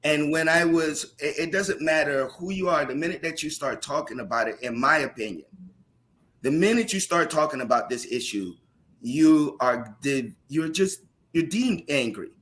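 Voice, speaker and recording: A man who is a teacher speaks in a panel discussion with a loud voice, passionate and emphatic. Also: a little high, animated, moderate pace. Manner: impassioned, rising to emphatic questions.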